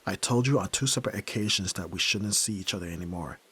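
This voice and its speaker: A man's voice: low-pitched, quick pace, a bit bright, slightly rough, exaggerated voice. A young man playing a brusque, tormented nerd who is a reluctant yakuza heir, in a dramatic audio roleplay, speaking huskily and curtly. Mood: irritated, guarded. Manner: brooding, curt, pushing the listener away.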